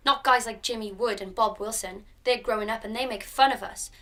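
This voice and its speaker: A woman playing a young girl in a radio drama, sweet and calmly secretive about dark things. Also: on the high side, a little fast, lightly acted. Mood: matter-of-fact. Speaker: actor in a student radio drama.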